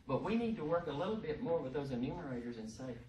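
Loud, folksy woman lecturing. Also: deep voice, expressive, fast. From Texas. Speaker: presenter at a workshop. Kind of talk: informal training talk. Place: conference room. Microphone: podium microphone, heard from across the room. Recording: echoey room, distant mic, faint hiss.

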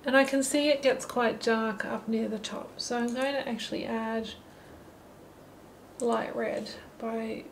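Middle-aged woman, gravelly and steady, talking through her painting in a holiday flat, faint hiss. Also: fairly high pitch, measured pace. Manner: relaxed, thinking aloud while painting. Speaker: watercolour teacher. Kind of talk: painting tutorial narration. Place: holiday apartment, at a table indoors. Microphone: camera mic above the table.

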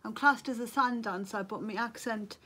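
A woman's voice: fairly high pitch, normal speed, bright, forceful tone. Woman, Geordie accent, thirties, a bit tired, chatty, bedroom webcam. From North East England. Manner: chatty, reads viewer comments aloud, laughs easily. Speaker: beauty vlogger. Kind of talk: casual livestream chat. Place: bedroom at home, indoors. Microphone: webcam at desk.

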